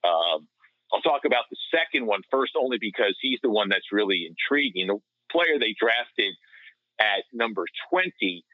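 Low-voiced man on a dull line. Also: sing-song, normal speed, dry recording. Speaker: hockey radio play-by-play announcer, podcast panelist. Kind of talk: conversational sports analysis. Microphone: remote call.

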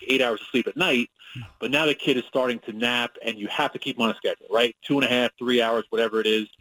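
Male sportswriter guest, New York accent, mid-pitched, dry close mic, loose and talky with lots of "you know"s. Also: a bit bright, no room sound, rough recording. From Long Island, New York. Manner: conversational, with many fillers and restarts, analytical. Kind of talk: radio interview.

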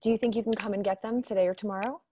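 A woman playing a caring, perceptive middle-aged mother in a radio drama, gentle and concerned. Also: medium pitch, steady tone, racing, slight background noise, natural voice.

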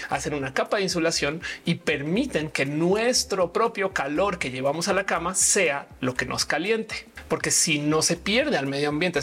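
A woman's voice: low voice, breathy edge. A woman born in Colombia hosting her own channel, explaining things in a chatty way and talking straight to her viewers. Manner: chatty, addresses viewers directly. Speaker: channel host. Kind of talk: informal explainer video.

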